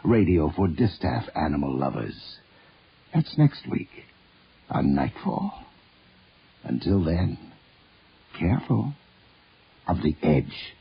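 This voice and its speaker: Male horror radio host: extremely deep, very raspy, theatrical and sly, on a dull vintage recording. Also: sing-song, slow pace, pauses often. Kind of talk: scripted macabre radio hosting. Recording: muffled old radio broadcast.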